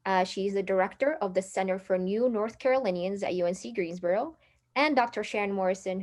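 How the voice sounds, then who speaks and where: Young woman moderating a formal panel. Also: medium pitch. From Morocco. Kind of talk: formal panel introduction, partly scripted.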